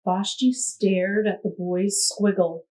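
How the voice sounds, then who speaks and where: A woman reading a picture book aloud to children, expressive, pausing to ask gentle prompting questions. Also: medium pitch, rough recording. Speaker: puppet show storyteller.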